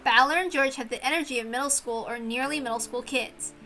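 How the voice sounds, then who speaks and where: A woman's voice: high-pitched, brisk, sharp tone, soft background music. A woman reading a visual novel aloud in a dramatic storytelling style. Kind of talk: dramatic story narration with character voices.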